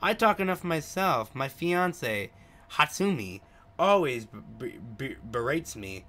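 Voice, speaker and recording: A man voices a chatty male driver from a visual novel in a bright, lively voice. He is gossipy, a bit sheepish and rambling, and he trips over the odd word. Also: on the high side, measured pace, full character voice. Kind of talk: reading visual novel dialogue aloud.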